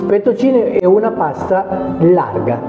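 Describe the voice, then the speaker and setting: A middle-aged Italian man, a chef, talking through a casual interview in halting Spanish mixed with Italian, with frequent hesitations. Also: high-pitched. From Italy. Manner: mixes Italian into hesitant Spanish, lively hand gestures. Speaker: chef and restaurant owner. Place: small restaurant dining room, indoors. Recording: echoey room, phone-like sound, background music.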